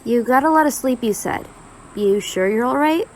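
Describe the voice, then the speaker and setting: Woman acting a sardonic college girl, higher-pitched. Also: animated, normal speed, a little hiss or hum, close to an everyday voice. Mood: blunt, teasing. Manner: teasing, blunt, dry. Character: sardonic, bossy young female college student. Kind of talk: audio roleplay acting.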